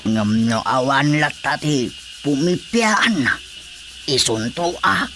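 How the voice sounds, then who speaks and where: Stubborn uncle, radio drama, slow, bright tone, faint noise. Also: male voice, mid-range voice, lively intonation, heavily acted. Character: stubborn older village man, uncle.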